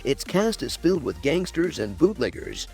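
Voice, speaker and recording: A man's voice: on the high side, huge pitch swings, moderate pace, darkish tone, soft background music. A man hosting and narrating a scripted countdown video.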